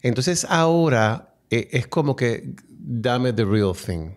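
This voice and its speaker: A man's voice: medium pitch, lively intonation, takes it slowly. A middle-aged man hosting an interview, often finishing his guest's sentences and dropping in English words. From Puerto Rico. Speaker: podcast host. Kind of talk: interview conversation. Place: small studio with a table. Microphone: table mic on a stand.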